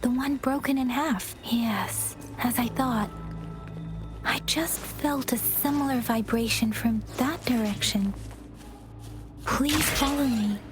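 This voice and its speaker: Composed young heroine, high bright voice, soft-spoken, game music behind. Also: female voice, slow pace. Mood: calm. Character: calm gentle young woman ally. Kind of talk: game cutscene voice acting.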